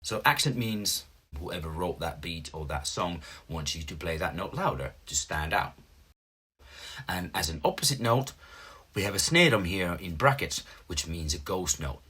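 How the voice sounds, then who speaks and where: A man's voice: sits low, animated, moderate pace, sharp tone, breathy edge. A man in his thirties with a Finnish accent, patiently explaining drum notation step by step as a teacher, with small slips in his English. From Finland. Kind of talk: instructional explanation.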